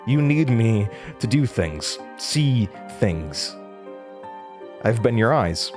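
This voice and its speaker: A man's voice: medium pitch, sing-song, takes it slowly, over music. A young man in a horror audio drama playing a weary, defiant college student haunted by his past, candid and dryly resigned. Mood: accusing, firm. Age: young adult.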